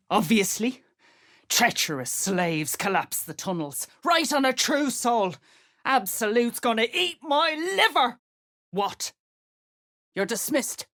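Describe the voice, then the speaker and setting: A woman playing a gruff, threatening dwarf sergeant in a video game, coarse and slangy in her speech. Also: mid-range voice, fairly bright tone. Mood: impatient, angry. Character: gruff, menacing female duergar sergeant driving slaves. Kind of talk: video game character acting.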